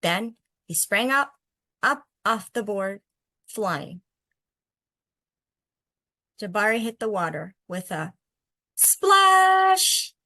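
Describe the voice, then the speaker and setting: A woman's voice: medium pitch, big pitch swings, very slow, long pauses, bright, forceful tone, dry recording, studio quality. A woman who hosts read-alouds of picture books and chats about them in an encouraging way. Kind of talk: picture-book read-aloud and casual discussion.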